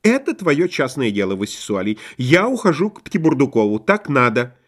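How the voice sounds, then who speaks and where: A man's voice: medium pitch, very animated, normal speed. A man voicing a cool, exasperated wife in an audiobook, curt, dismissive and matter-of-fact. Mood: irritated.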